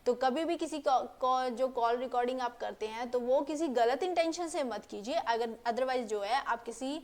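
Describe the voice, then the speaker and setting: A woman's voice: sits high, moderate pace. A woman presenting an informal explainer lesson, rephrasing points as she goes and mixing English legal terms into her speech.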